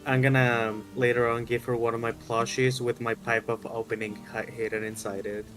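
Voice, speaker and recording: A man's voice: mid-range voice, little pitch movement, fairly quick. A man playing a tabletop game, chatting casually in a hesitant way with repeated words. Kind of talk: casual chat. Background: music.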